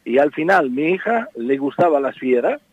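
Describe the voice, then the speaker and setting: A man's voice: fairly high pitch, sing-song, normal speed, husky, no echo, muffled recording. A middle-aged Spanish man with an Italian accent, a circus owner answering in a radio interview, hesitant and repeating his words. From Spain. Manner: hesitant, repeats words, says "mire" often.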